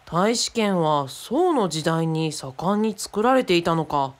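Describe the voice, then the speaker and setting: Lively, knowledgeable companion, played by a woman. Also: fairly low pitch, slow pace. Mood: explaining. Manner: casual, explanatory, thinking aloud. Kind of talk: conversational radio drama acting.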